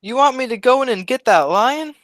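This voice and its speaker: A man's voice: extremely high pitch, lively intonation, a little fast, a bit bright. A man playing a cowardly, dim but wisecracking sidekick in a vintage-style comedy radio double act. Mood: nervous, wisecracking.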